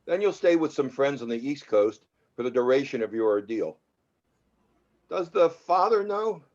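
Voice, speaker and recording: A man playing a menacing, controlling film producer with calm threat in a drama table read. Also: mid-range voice, moderate pace, lightly acted. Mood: cold menace. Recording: dull lo-fi microphone.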